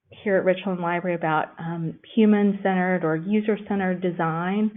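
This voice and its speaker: A woman giving a conversational library lecture, pausing often with "um". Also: lowish voice. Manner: conversational, frequent "um" fillers. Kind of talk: recorded lecture with slides. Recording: tinny phone-like recording.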